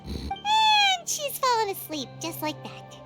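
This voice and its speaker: A tiny childlike fairy pipes up in a squeaky, extremely high voice. The pitch leaps all over with curious excitement, like a video game sidekick over clear music. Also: female voice, takes it slowly, full character voice. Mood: incredulous.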